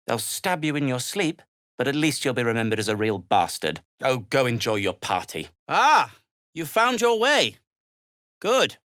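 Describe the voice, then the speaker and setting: An actor playing an earnest, worried male deep gnome tinkerer in a video game, with a dry, wordy and formal manner. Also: sharp tone, no room sound, exaggerated voice. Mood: dry, hopeful. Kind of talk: video game character dialogue.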